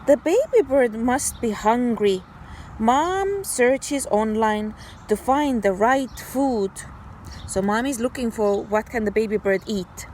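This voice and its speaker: A woman teacher reading a story aloud to young children in an animated, deliberate, child-directed way. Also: a little high, a little hiss or hum. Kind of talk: expressive children's read-aloud.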